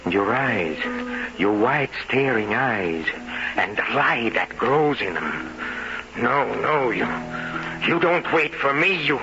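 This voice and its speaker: Slow, tormented, jealous man. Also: mid-range voice, expressive, over music. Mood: anguished. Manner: halting, repetitive, brooding, theatrical. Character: tormented, jealous, wine-soaked man in a gothic tale. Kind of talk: old-time radio horror acting. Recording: dull vintage radio recording.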